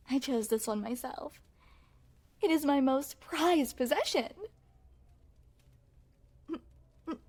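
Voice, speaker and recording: Woman acting a high-pitched, possessive yandere princess. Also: full character voice. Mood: adoring, possessive. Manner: regal formal diction, slow coy cooing, possessive. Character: yandere princess, sweetly possessive, mischievous, menacing young woman. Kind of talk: ASMR roleplay, scripted monologue to the listener.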